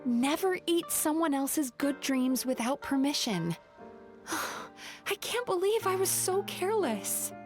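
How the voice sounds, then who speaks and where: A woman voicing a gentle young female dream-eating spirit in a video game, soft-spoken, polite and apologetic. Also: high voice, very animated, takes it slowly, faint music behind, exaggerated voice.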